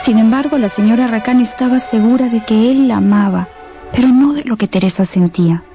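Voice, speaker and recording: A woman narrator telling a scripted story for a radio novel in a measured, expressive, dramatic way. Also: fairly high pitch, soft background music.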